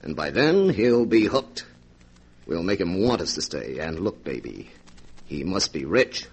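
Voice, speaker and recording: An actor in a 1940s radio drama playing a brusque, scheming young fugitive husband who bosses people around in slangy talk. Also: male voice, sits low, very animated, a little slow, slightly rough, heavily acted. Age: young adult. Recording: 1940s radio recording, organ music behind.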